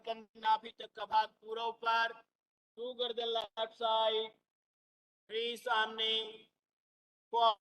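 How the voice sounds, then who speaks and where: Yoga teacher on an online class, smooth clear voice, slow clipped counts and commands, kinda muffled. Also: medium pitch. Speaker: yoga instructor. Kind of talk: counted exercise instruction, short commands. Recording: muffled lo-fi online call audio.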